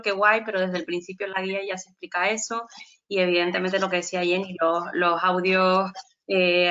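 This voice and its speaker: A woman with a crisp, bright Spanish leads an online panel in a loose, chatty way, often restarting her sentences. Her voice comes through muffled, dull video-call audio. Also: fairly low pitch. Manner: chatty, with many restarts and fillers.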